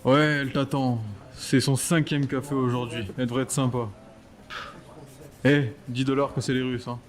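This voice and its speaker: A man's voice: medium pitch, level tone, moderate pace, natural voice. A man playing a breezy, teasing and casually skeptical colleague at an agency in a French audio drama. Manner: casual, joking. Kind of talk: French audio fiction dialogue.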